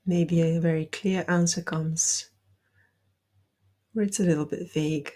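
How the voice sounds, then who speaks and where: A woman leading a guided meditation, giving gentle, deliberate instructions with soft, breathy phrasing. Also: lowish voice, steady tone.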